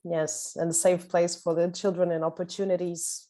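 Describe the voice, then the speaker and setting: A woman's voice: lowish voice, level tone, moderate pace, mellow. A woman moderating an online panel discussion over a video call, friendly and often restarting her phrases.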